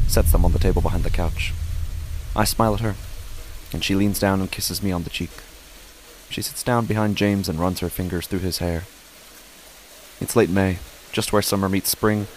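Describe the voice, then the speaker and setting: A man's voice: a little mellow, slight background noise, rough recording. A man reading scripted horror fiction as a first-person narrator. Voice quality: low-pitched. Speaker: podcast host and narrator.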